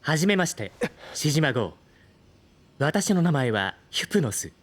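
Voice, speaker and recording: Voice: mid-range voice, big pitch swings, moderate pace. A performer playing a polite artificial intelligence in an audio drama, speaking formally and measuredly without emotion. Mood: calm, courteous. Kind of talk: scripted audio drama acting. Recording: music behind the voice.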